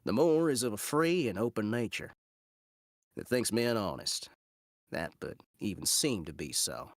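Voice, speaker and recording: A man's voice: on the low side, lively intonation, measured pace, no echo, studio quality. A man playing a scheming villain in a theatrical Shakespeare monologue, musing aloud with malicious relish in a drawling mock Southern accent. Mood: sly plotting.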